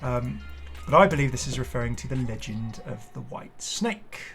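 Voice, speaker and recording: A man's voice: mid-range voice, expressive, moderate pace, creaky, fairly bright tone, soft background music. A man giving casual, off-the-cuff video commentary as a gaming host, rambling and hesitant with apologetic asides.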